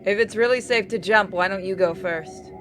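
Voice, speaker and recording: A woman's voice: mid-range voice, normal speed, fairly bright tone, slight background noise. A woman giving a dramatic reading of visual novel game dialogue.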